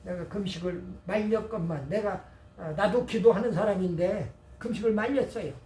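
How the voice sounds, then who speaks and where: A man's voice: high-pitched, normal speed, warm tone. A middle-aged or older man preaching as a pastor, emphatic and folksy, telling personal anecdotes. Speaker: pastor and former seminary professor. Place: church service. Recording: echoey church, distant mic, faint noise.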